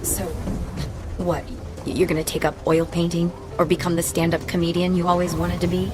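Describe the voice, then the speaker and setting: Actress as lowish-voiced, sardonic, guarded ex-wife. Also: female voice, level tone, normal speed, close to an everyday voice. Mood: skeptical. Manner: sardonic, clipped, reproachful. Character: bitter, guarded, sarcastic ex-wife, no-nonsense woman. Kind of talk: radio drama acting. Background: faint background music.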